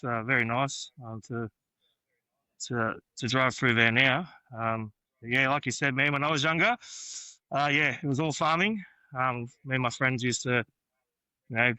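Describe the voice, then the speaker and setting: A man's voice: medium pitch, pushed and bright, clear, resonant tone, dry recording, rough recording. A young man from New South Wales with an Australian accent, a guest chatting easygoingly about where he grew up, with many ums and uhs. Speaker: podcast guest, graffiti artist. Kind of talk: casual interview chat.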